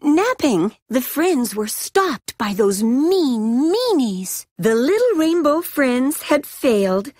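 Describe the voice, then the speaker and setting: High, theatrical, playful storybook narrator. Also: female voice, big pitch swings, takes it slowly, hardly any pauses, a little mellow, no room sound, clean studio sound, heavily acted. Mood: wry, dramatic. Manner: theatrical, sing-song, exaggerated storytelling. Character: playful female storybook narrator telling toddlers' adventure. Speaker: game event narrator. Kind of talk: scripted children's storybook narration.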